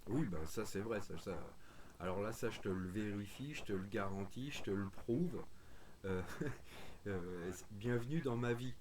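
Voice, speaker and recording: A man's voice: lowish voice, unhurried, background noise. A man chatting casually and bluntly in informal, opinionated slang. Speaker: radio hobbyist chatting on a group call stream. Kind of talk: casual chat.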